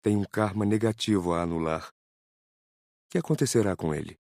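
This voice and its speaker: Male actor as earnest male student, low-pitched, dry close sound, expository, audiobook dialogue. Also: moderate pace, gravelly, darkish tone, no room sound. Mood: earnest inquiry. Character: Murilo, earnest male student asking his teacher. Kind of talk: dramatized audiobook reading.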